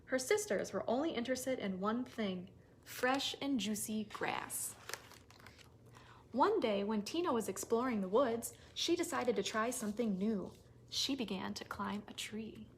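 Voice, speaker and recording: A woman with a fairly high voice leads story time for toddlers. She speaks in simple, expressive phrases, as a children's librarian reading aloud. The recording has noticeable noise. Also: unhurried. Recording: noisy recording with clicks.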